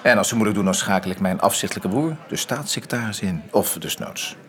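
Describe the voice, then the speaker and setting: Actor as a wry, low-voiced male intellectual. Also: brisk, close to an everyday voice. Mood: probing, assured. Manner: wry, assertive. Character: self-assured, sardonic male intellectual turning to politics. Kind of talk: naturalistic radio drama acting.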